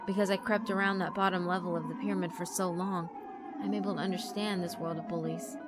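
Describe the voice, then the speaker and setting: A woman's voice: fairly low pitch, steady tone, fast, natural voice. A woman voicing an arrogant teenage boy bully who calls himself a king, cold and boastful. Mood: bitter. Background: background music.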